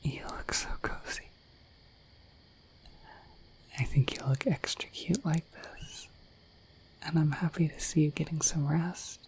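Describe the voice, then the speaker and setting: A woman voicing a shy, affectionate dorky dragon in an ASMR roleplay, speaking in a gentle, hesitant, breathy whisper. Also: sits low, fairly even intonation, normal speed, close to an everyday voice, rough recording. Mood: tender, excited. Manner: gentle, hesitant, slightly bashful, intimate.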